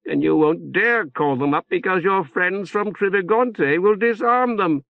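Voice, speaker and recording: A man reading an audiobook, voicing a gloating, giggly, shrill-voiced councillor. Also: measured pace, vocal fry, dry recording, phone-quality sound.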